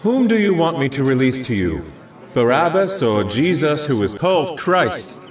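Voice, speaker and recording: A man's voice: fairly high pitch, sing-song, moderate pace. A man acting a Roman governor addressing a crowd in an audio Bible dramatization. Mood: questioning. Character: Roman governor questioning a hostile crowd, exasperated. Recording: distant, echoey, music underneath.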